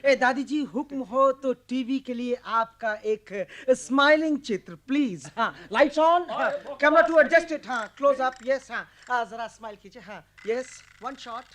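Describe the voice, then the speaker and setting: High-voiced cameraman, fussy, commanding, excitable, repetitive orders, comic radio drama. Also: measured pace, few pauses. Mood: bossy, excited. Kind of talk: comic radio play acting.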